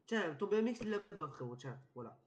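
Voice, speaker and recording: A man's voice: sits high, very animated, a little fast. An irritated, foul-mouthed man trading slangy banter in an online game roleplay. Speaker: GTA roleplay player.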